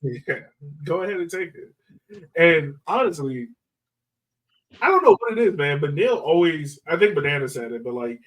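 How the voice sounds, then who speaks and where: A man's voice: a little high, low-quality recording. A man co-hosting a sports podcast, chatting casually like a fan in loose, informal phrasing peppered with "like". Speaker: soccer podcast host.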